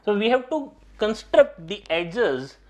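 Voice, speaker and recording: A middle-aged man lectures at a whiteboard, his pitch rising and falling widely as he stresses each point. He keeps repeating key ideas and turns often to address his students directly. He speaks through a clip-on mic in a small studio classroom. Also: sing-song, slow pace, darkish tone. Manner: repetitive, emphatic teaching, often addressing "my dear students". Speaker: teacher. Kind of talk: exam-prep lecture at a whiteboard.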